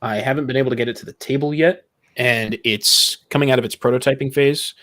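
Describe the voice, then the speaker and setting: Mid-pitched man explaining his opinions. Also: steady tone, fast talker, fairly bright tone. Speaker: podcast guest. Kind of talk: casual panel discussion.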